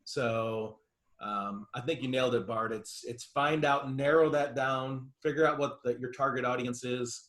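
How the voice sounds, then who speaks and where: Man, medium pitch, informal discussion, hesitant, agreeable, roundabout phrasing, video call. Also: fairly even intonation, a little slow, a bit bright. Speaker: participant commenting.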